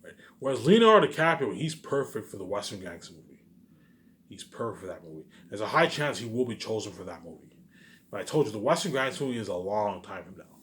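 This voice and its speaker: A man's voice: on the low side, very animated, a little fast, a bit bright. A man talking casually in a rambling, repetitive monologue, musing aloud like a vlogger. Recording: noisy amateur recording.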